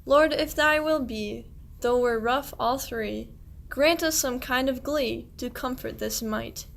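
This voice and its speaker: A woman's voice: fairly high pitch, takes it slowly, gravelly, fairly bright tone, a little hiss or hum, heavily acted. A woman playing a kindly, devout medieval shepherd, declaiming rhymed verse in a radio play. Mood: hopeful.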